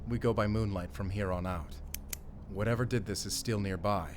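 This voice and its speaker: Man acting deep-voiced, stern German monster hunter. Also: steady tone, moderate pace, a little hiss or hum, close to an everyday voice. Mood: grave, hushed, wary. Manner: terse, commanding, grave. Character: stern, secretive male German werewolf hunter. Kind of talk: cinematic audio drama acting.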